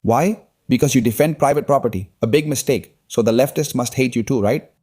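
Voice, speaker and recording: A man's voice: medium pitch, brisk, dark tone. A man hosting a podcast interview, making his points in long, opinionated runs. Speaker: podcast host. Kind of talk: conversational interview.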